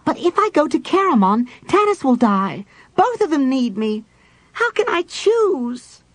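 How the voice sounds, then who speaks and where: Man doing a high bouncy kender, cheeky and excitable, storybook reading. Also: unhurried, no room sound, exaggerated voice. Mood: fretting. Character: small cheerful mischievous kender man.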